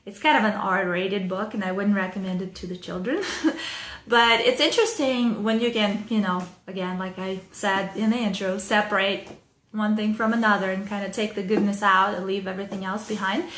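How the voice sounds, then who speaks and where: A woman's voice: mid-range voice, steady tone, normal speed, a bit bright. Ukrainian-accented woman chatting to camera. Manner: chatty, loose, with frequent fillers and small laughs. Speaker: songwriting video host. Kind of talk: casual talk to camera with reading aloud. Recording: echoey room.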